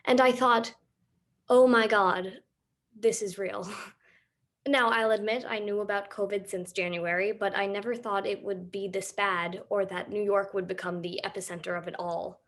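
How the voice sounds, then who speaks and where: A young female student narrates her own documentary play, speaking as a storyteller in a scripted first-person monologue. Her voice sits at an ordinary middle pitch for a woman. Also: steady tone, normal speed, fairly bright tone. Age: teens to young adult.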